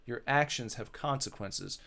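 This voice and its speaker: A man voicing a stern, skeptical woman interviewer from a visual novel, measured and probing in manner. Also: medium pitch, gravelly, bright, forceful tone, heavily acted. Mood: skeptical, reproving.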